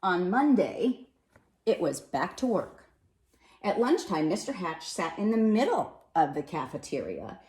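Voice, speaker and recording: A woman reading a children's picture book aloud in an expressive, animated way, with dramatic pauses and emphasis. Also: fairly low pitch. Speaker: storybook reader. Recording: roomy, echoey sound.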